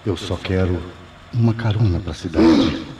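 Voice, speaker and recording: Over loud hum and car noise on an old radio tape comes a cadaverous ghost in a mystery play. He is Brazilian, eerily polite and very slow, every phrase set down deliberately. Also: low voice. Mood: eerie calm.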